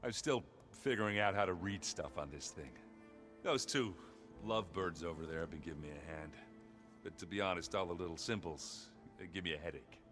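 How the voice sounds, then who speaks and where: A big warrior from a video game with a deep, low voice. He is blustery, folksy and awkwardly earnest. Music plays loudly behind him. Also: brisk. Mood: easygoing, earnest. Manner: blustery, folksy, plain-spoken. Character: big rough-edged good-natured warrior man, awkward and earnest. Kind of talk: video game character dialogue.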